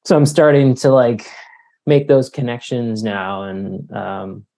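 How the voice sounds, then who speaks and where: A man in his forties giving casual, halting, self-deprecating answers as an author being interviewed. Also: mid-range voice, level tone, vocal fry, mellow. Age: 40s. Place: remote call.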